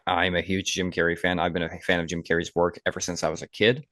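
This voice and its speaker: Low deep guy voice, close dry sound, casual rambly podcast talk, pretty opinionated. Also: level tone, fast talker, a bit bright, no echo. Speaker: podcast co-host.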